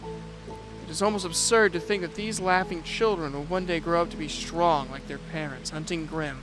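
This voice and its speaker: A man playing a reserved, dutiful young prince, narrating an audio drama in a measured, brooding way. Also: a little high, close to an everyday voice. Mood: brooding, reflective. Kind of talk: first-person audio drama narration with dialogue. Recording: music and rain behind the voice. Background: music and rain.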